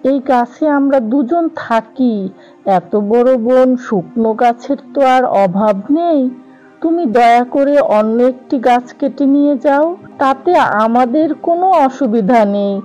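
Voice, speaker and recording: A woman voicing a gentle young tree fairy in a cartoon, speaking politely and imploringly. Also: high voice, a little slow, faint music behind, poor audio. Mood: pleading. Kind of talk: cartoon voice acting.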